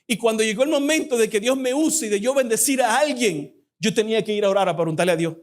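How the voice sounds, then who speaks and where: Young Dominican man, high-pitched, fervent fast-talking guest preacher making an appeal in church. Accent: Dominican Spanish. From Dominican Republic.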